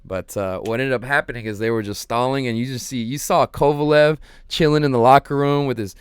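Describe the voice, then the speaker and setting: A man hosting his own show, chatting casually and rambling with lots of ums and slang, his voice slightly hoarse. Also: medium pitch, a little fast, dry recording, studio quality. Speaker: podcast host. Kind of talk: casual solo livestream chat.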